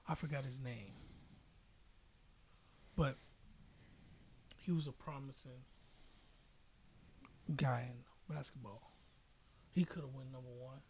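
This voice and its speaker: A man's voice: mid-range voice, steady tone, slow pace, gravelly, pauses often, a little mellow. An American man from Chicago talking sports casually, explaining his opinions with examples. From Chicago, United States. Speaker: podcast host. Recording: noisy amateur podcast audio.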